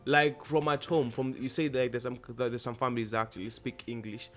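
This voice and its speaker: A man's voice: mid-range voice, flat delivery, a little fast, fairly bright tone, soft background music. A young man interviewing a guest, asking long, winding questions full of fillers. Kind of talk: casual interview.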